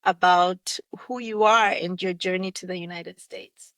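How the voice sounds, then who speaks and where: A woman's voice: on the low side, fairly even intonation, measured pace, clean tone, no room sound. Eager female podcast host. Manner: enthusiastic, warm welcoming. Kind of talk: podcast interview with prayer.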